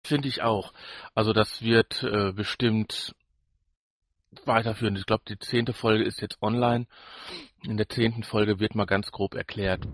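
A man co-hosting a podcast in casual chat, husky and creaky, rambling and searching for words. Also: on the low side, fairly bright tone, dry recording. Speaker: podcast co-host.

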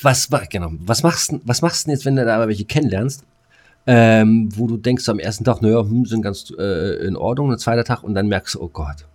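A man's voice: fairly low pitch, a little fast, darkish tone. A middle-aged man chatting as a podcast co-host, telling stories in coarse, slangy, exasperated fashion. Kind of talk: casual chat, storytelling.

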